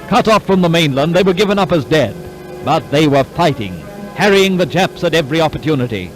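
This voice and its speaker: A man's voice: a little high, animated, brisk, rough recording. A man reading scripted wartime newsreel narration in a clipped, dramatic, declamatory way. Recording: 1940s newsreel soundtrack, music behind. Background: orchestral newsreel music.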